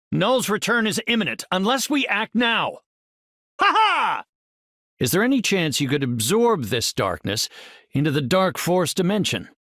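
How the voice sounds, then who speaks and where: An actor playing a brilliant, composed superhero scientist in a video game, with articulate, earnest, scholarly delivery. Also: male voice, high-pitched, pushed and bright, dry recording, clean studio sound, exaggerated voice. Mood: confident, determined, then triumphant. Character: brilliant, composed male superhero scientist leading his team. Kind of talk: video game character voice lines.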